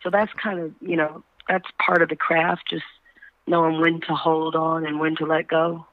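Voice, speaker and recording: A woman, a stage actor being interviewed, talking reflectively and searching for words in a measured way. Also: low voice, flat delivery, no echo. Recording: dull, band-limited call audio.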